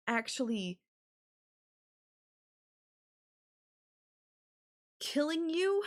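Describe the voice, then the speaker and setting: A woman playing an obsessive, possessive, murderous girlfriend in an ASMR audio roleplay, speaking deliberately with drawn-out phrases and pauses. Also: high-pitched, fairly bright tone. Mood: pained devotion.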